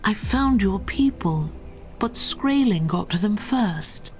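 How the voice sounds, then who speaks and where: An actress playing a calm, resolute female hero in a fantasy video game, solemn and measured. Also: mid-range voice, soft background music. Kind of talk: video game character acting.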